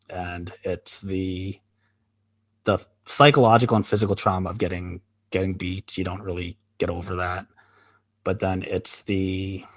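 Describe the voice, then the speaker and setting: A man co-hosting a podcast, talking candidly in a halting, reflective way with many pauses and restarts. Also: low-pitched, no room sound. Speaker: podcast co-host. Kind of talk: candid conversational chat.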